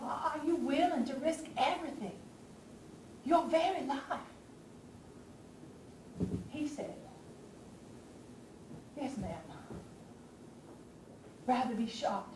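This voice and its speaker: An echoey, distant stage recording with faint noise carries an actress as an impassioned Southern lady of the Civil War era. Her voice is very high for a woman. She declaims to the audience like a spy confessing her cause, theatrical, with dramatic pauses and pointed questions. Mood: solemn. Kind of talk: stage play monologue addressed to the audience.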